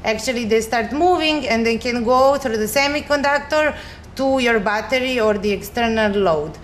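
A woman's voice: a little high, moderate pace. A young woman from Serbia with a Serbian accent gives an informal, explanatory science presentation, speaking a little hesitantly and simply as a researcher. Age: young adult. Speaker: chemistry researcher, recent graduate. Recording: echoey room with faint noise.

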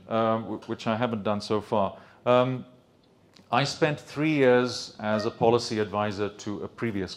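A man in his fifties with a British accent gives a relaxed conference lecture in a room with a projector screen. His voice sits fairly low, and he speaks into a handheld microphone. He hesitates often, filling pauses with ums and restarting sentences. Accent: British English. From Sri Lanka. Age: 50s.

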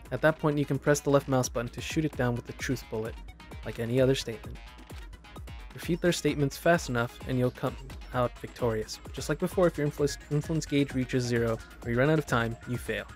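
A gamer giving casual, self-deprecating playthrough commentary and reading on-screen game text aloud. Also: medium pitch. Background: loud game music.

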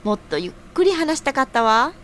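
Over light background noise, a woman acts out a young office senior in a radio drama. She is playful and nosy, her high voice swinging freely as she teases. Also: sing-song, fairly quick, fairly bright tone. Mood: cheerful. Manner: feminine sentence endings, playful. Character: teasing, nosy, cheerful young female senior colleague. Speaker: voice actor in an audio drama. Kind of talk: audio drama dialogue.

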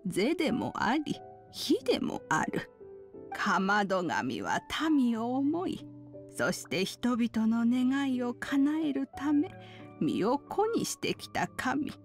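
A kindly old female sage from a Japanese video game. She speaks slowly in old-fashioned turns of phrase, with a fairly high voice and faint music behind her. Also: exaggerated voice. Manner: archaic elderly speech, unhurried sage delivery. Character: kindly wise old woman, a master.

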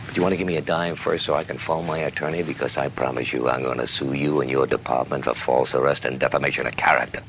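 An actor playing a smug, sarcastic mystery writer accused of murder, mocking and haughty in a theatrical way. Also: male voice, a bass voice, fairly even intonation, fast talker, hardly any pauses, a bit bright. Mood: scornful, sarcastic. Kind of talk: dramatic dialogue acting. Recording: noticeable background noise.

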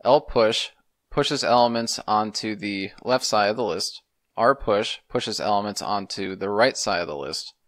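A man's voice: lowish voice, unhurried, fairly bright tone, dry recording. A man, explaining things methodically in a semi-casual screencast tutorial. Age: 30s. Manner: methodical, step-by-step instruction. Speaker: programming tutorial host.

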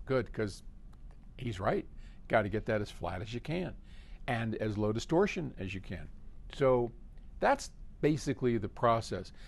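A man, explaining things casually to camera in an unhurried, thinking-aloud way as host of a question-and-answer video. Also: on the low side, darkish tone. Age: 70s. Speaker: company head hosting a question-and-answer video. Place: large indoor office workspace with hard floor.

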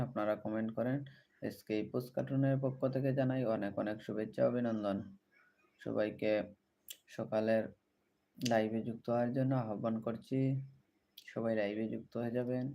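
A man's voice: fairly low pitch, flat delivery, fairly quick, vocal fry, warm tone. A man hosting a livestream, greeting viewers and repeating set-phrase appeals to them. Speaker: livestream host. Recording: noticeable background noise.

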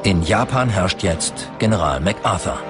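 A man's voice: low-pitched, expressive, moderate pace. A man reading scripted documentary narration as the narrator. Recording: loud music under the voice. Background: music.

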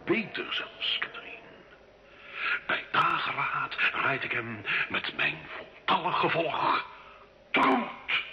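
Proud, theatrical nobleman, animated voice. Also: a little high, slow pace, heavily acted. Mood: scornful, haughty. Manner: grandiloquent, sarcastic, theatrical. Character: proud, defiant medieval nobleman and marshal, mocking and theatrical. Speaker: actor in a radio drama. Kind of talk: old Dutch-language radio play acting. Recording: dull, echoey old radio recording.

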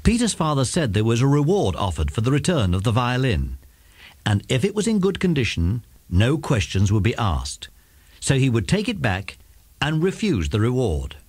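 A man narrating a scripted children's story in an expressive storytelling manner. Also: medium pitch, husky, no room sound.

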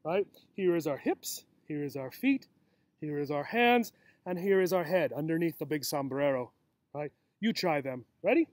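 A man's voice: fairly high pitch, expressive, moderate pace, no echo. A man in his forties reading a picture book aloud as a teacher, with simple, encouraging talk for young children. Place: outdoors in a grassy yard. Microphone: camera or laptop mic at about arm's length.

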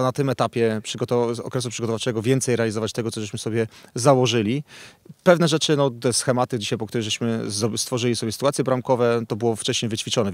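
A man in his thirties from Poland, a football coach giving a thoughtful, halting post-match interview outdoors at night into a handheld mic. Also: mid-range voice. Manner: thoughtful, halting, with many restarts and fillers. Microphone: handheld reporter mic.

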